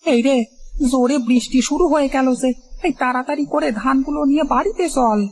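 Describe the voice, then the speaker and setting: A woman's voice: high voice, fairly quick, a little hiss or hum, natural voice. A woman voicing a fretful, hard-working male village farmer in a cartoon, plaintive and anxiously repeating phrases. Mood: hurried. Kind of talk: cartoon moral-story dialogue.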